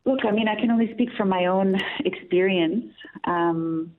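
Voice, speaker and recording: A woman from Austria speaks as a guest on a radio interview, earnest and reflective, restarting her sentences as she works through her ideas. Her voice sits at an ordinary middle pitch. It comes through a narrow, tinny phone line. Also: moderate pace. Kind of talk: radio interview, thoughtful opinion.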